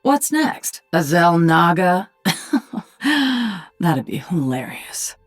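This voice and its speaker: A woman voicing a sardonic, commanding alien-hybrid warrior queen in a video game, with a husky voice. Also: fairly low pitch, sing-song, drawn-out words, faint music behind, full character voice. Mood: dry, wry. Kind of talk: video game character voice lines.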